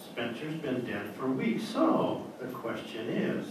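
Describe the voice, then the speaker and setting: An actor playing a probing, reasoning doctor in an old-time radio drama, formal and deliberate in his explanations. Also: mid-range voice, big pitch swings. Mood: measured, probing. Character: probing, reasoning male doctor, calmly accusatory. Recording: very echoey hall, distant mic, clear noise.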